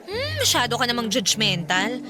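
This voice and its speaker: A woman playing a trusting, lighthearted young band member in a radio drama, gently chiding, with a Filipino accent. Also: a little high, wildly swooping pitch, moderate pace, crowd noise. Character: friendly, trusting young female singer in a band.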